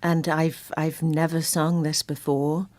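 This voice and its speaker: Low-voiced woman, modest and reflective. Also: level tone, slow pace, a little mellow. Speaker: singer being interviewed. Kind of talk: casual, reflective interview talk.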